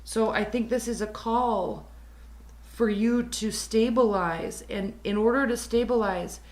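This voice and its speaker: A woman with a raspy, hoarse voice reading tarot cards, earnest, repeating her advice and slowing down to stress it. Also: fairly high pitch, fairly even intonation, echoey room. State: hoarse from talking for days. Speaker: tarot reader.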